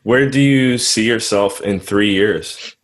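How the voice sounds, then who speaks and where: A man hosting an interview, asking questions and encouraging his guest with quick agreement. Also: fairly low pitch. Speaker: interview host. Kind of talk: conversational interview.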